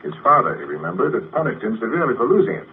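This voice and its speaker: A self-assured psychiatrist explains his work in a lowish voice that rises and falls a lot. The sound is thin and phone-like, with a faint hiss, like a 1950 radio drama. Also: very animated, racing. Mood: calm explaining. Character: confident male psychiatrist, sanitarium doctor. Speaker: doctor. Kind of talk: 1950s radio drama acting.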